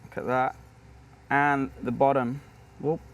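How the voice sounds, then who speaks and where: A man's voice: mid-range voice, little pitch movement, slow pace. A man with a British accent, a professional chef giving a cooking demonstration at a barbecue grill in an upbeat, chatty way.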